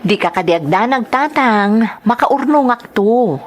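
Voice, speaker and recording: A woman's voice: fairly high pitch, expressive, measured pace. A woman acting as a carefree, free-spending young mother in an audio drama, with an Ilocano accent. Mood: breezy, unworried.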